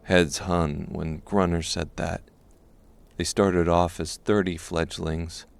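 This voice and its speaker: A man reading scripted dark fantasy narration as an audiobook narrator. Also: low-pitched, flat delivery, slow, gravelly, clean tone.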